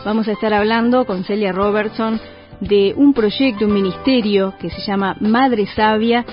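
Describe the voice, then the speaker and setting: A woman's voice: medium pitch, fairly even intonation, normal speed. A woman hosting a women's radio program and chatting in a friendly, conversational way with her guests. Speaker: radio host. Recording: muffled radio broadcast, faint music.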